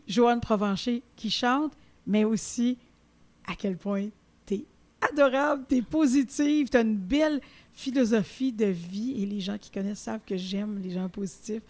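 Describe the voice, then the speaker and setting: A woman hosting an interview podcast, speaking with a Québécois French accent, effusive and quick to laugh. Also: on the high side, a little mellow. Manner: effusive, laughs often, many restarts. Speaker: podcast host. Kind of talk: warm conversational interview.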